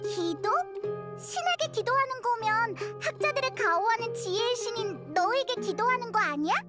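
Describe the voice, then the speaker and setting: Tiny, very high-pitched excitable fairy. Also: female voice, normal speed. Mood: excited, curious. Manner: exclamatory, childlike chatter. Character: tiny childlike fairy companion, female, excitable and chatty. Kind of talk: game character dialogue. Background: prominent music.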